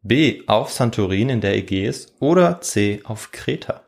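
A man's voice: on the low side, animated, moderate pace, creaky. A man, hosting a history podcast and telling the story in a semi-scripted way. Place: warm indoor room.

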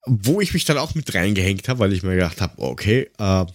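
Male podcast host, middle-aged, animated pitch, wry and halting, casual banter, very dry recording. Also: dry recording. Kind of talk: casual humorous podcast chat.

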